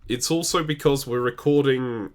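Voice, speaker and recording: A man from the UK with a hybrid British accent and a naturally deep voice, co-hosting a casual Q&A over a remote call, chatty and laughing between answers. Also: normal speed. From United Kingdom. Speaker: co-host. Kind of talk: casual chat. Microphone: remote call recording.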